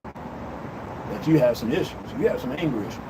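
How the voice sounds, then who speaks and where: A man's voice: medium pitch, animated, moderate pace. A man in his fifties hosting a livestream from a city balcony, giving blunt, preachy opinions straight to his viewers. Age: 50s. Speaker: livestream host. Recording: loud outdoor street noise. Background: city traffic and outdoor noise.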